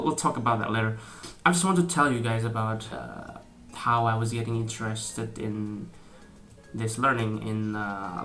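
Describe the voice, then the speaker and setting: Bright-voiced young Indonesian man, hesitant. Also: medium pitch. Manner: hesitant, many fillers and restarts, laughs often. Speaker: vlogger. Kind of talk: casual talk to camera.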